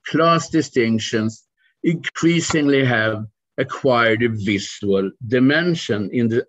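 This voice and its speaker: A middle-aged man from Sweden giving a recorded academic lecture over slides in a measured, deliberate way, now and then restarting a word, recorded on a webcam video call. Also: medium pitch, dull audio.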